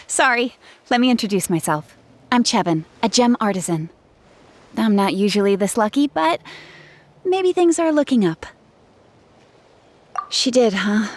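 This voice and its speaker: Earnest young gem artisan. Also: female voice, a little high. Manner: earnest, chatty storytelling. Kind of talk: video game dialogue. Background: game soundtrack music.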